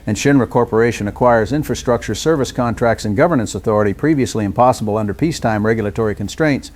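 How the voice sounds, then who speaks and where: A man's voice: fairly low pitch, fast, a little mellow, lightly acted. A man playing a calculating corporate executive villain in an audio drama, speaking in formal business phrases. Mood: smug, businesslike.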